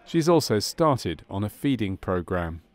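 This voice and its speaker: Male narrator for a medical training film: lowish pitch, measured and clinical delivery, very little room sound. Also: very animated, no room sound. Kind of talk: scripted documentary narration of a medical case study.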